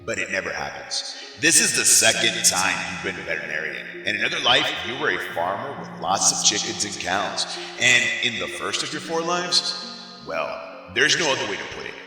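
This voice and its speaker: Wry heavenly ruler played by a man, lively and measured, drenched in echo, music underneath. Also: fairly low pitch, full character voice. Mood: frank, exasperated. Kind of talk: audio play acting. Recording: long echoing hall reverb, music underneath.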